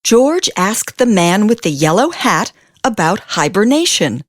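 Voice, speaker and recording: Lady reading a kids picture book, super slow and swoopy, dry close sound, stretches key words. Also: mid-range voice, big pitch swings, no echo. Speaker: children's storybook reader. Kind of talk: expressive read-aloud narration for young children.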